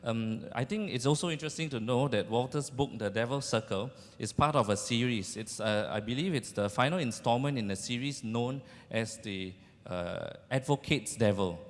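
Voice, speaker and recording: A man's voice: medium pitch, moderate pace, few pauses. A man with a Singaporean accent informally moderating a panel on stage. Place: large indoor event hall with seated audience. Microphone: handheld microphone. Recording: echoey event hall.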